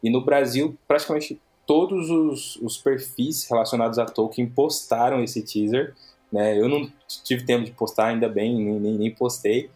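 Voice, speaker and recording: A man's voice: medium pitch, normal speed, warm tone, faint music behind. A man with a Brazilian Portuguese accent hosting a podcast, chatting casually and rambling, with many restarts and tag questions. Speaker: podcast host.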